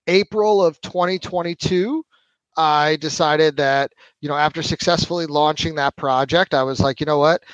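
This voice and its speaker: A man in his forties, a podcast guest, telling his story in a relaxed, casual way with lots of fillers. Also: fairly high pitch, dry recording, lo-fi sound. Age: 40s. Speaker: podcast guest, marketing executive. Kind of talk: casual interview chat.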